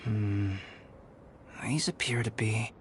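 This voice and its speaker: Composed game alchemist, somewhat deep voice, thoughtful, precise formal phrasing, faint background ambience. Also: male voice, fairly even intonation, takes it slowly, slight background noise. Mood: focused. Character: calm, analytical young male alchemist scholar. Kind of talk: video game voice acting.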